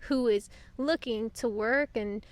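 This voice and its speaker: Young female podcast host, casual and rambling, higher pitch, dry sound. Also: measured pace, no room sound. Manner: loose and chatty, heavy on fillers. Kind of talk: casual chat. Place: outdoors on a couch.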